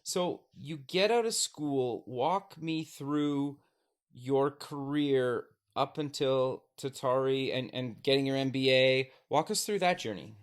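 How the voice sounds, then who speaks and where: A man's voice: mid-range voice, takes it slowly, smooth. A male podcast host who reads a sponsor spot and interviews a guest, reacting with short upbeat remarks. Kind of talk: interview hosting and ad read.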